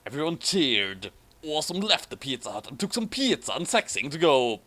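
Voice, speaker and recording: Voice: medium pitch, sing-song, normal speed, pushed and bright. A person reading a story aloud for comedy and chatting casually about it, often going back over flubbed lines. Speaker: fan fiction reader and commentator.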